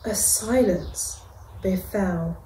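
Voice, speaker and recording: A middle-aged woman, a reception class teacher, reading a picture book aloud to children with gentle, expressive delivery and dramatic pauses. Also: mid-range voice, background noise. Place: school classroom, indoors. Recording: echoey classroom.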